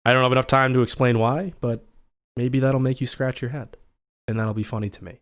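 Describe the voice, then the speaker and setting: A young man with a slightly scratchy voice hosts a relaxed livestream. He chats loosely with his viewers and reads their comments aloud as they come in. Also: medium pitch, brisk. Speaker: livestream host. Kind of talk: casual livestream chat.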